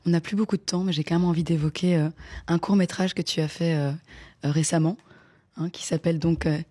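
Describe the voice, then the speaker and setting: Low-pitched, husky woman interviewer. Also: fairly even intonation, a little fast, mellow. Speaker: radio interviewer. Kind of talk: conversational interview. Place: radio studio.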